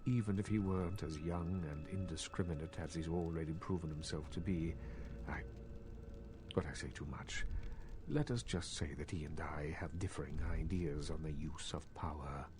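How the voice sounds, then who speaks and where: An actor playing a scheming, cultured elder male vampire in a video game, speaking in formal, measured, condescending phrases. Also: extremely low pitch, noisy background. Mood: sly, guarded. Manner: formal, measured, courtly speech. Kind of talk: video game character dialogue. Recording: loud game music behind. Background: music.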